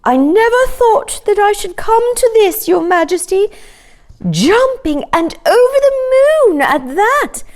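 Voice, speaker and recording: Woman voicing a high-pitched, earnest storybook cow. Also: very animated, exaggerated voice. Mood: hesitant, resolute. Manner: polite, earnest, drawn-out. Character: anxious, willing, courteous red cow, female.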